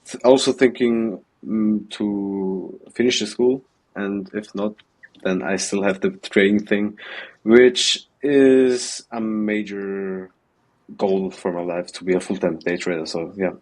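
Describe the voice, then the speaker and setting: An eighteen-year-old man with an Austrian German accent, answering in a remote interview, halting and hesitant with many ums, on a video call. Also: fairly low pitch, fairly even intonation, poor audio. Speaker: guest, student trader.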